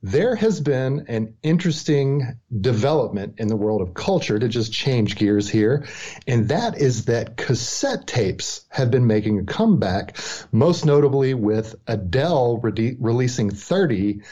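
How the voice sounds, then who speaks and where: Man, middle-aged, mellow timbre, dull lo-fi recording, podcast co-host, measured explanatory chat. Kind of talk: casual sports and culture commentary. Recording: dull, low-fidelity audio.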